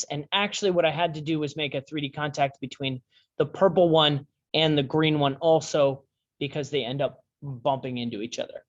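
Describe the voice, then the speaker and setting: Halting male teacher, dull lo-fi sound. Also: a little high, fairly bright tone. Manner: halting, many fillers and restarts. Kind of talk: screen-share class lesson.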